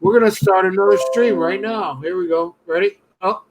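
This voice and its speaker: A man's voice: high voice, moderate pace, highs cut off. A man from New York hosting a live stream in casual chat, blunt and jokey with profanity.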